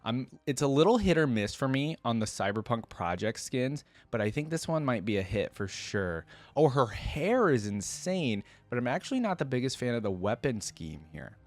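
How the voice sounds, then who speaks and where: A man's voice: mid-range voice, very animated, moderate pace, hardly any pauses, dry recording. A man giving casual, unscripted reaction commentary as a video host, enthusiastic and rambling with informal, slangy wording.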